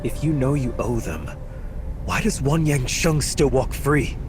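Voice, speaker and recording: An actor playing a grieving, bitter and defiant imperial courtier in a dramatic game cutscene, halting and accusatory. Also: male voice, mid-range voice, animated, over music, lightly acted. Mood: bitter accusation.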